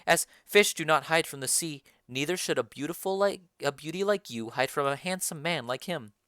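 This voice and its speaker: A woman doing a stern, low noble mother. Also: expressive, a bit bright, dry recording, studio quality. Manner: measured, formal, lowered and distant delivery. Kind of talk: dramatic reading of a play.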